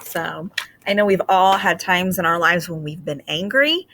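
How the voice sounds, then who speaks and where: A woman teacher explaining reading ideas to children in a gentle, patient way with frequent fillers, then reading a picture book aloud expressively. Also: on the low side, moderate pace, a little hiss or hum.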